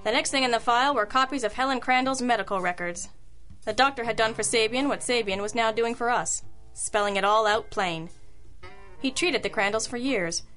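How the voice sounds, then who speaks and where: A woman playing a sharp, wry private detective in an old-school radio drama, crisp and matter-of-fact, with compassion underneath. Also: on the high side, brisk, soft background music, lightly acted.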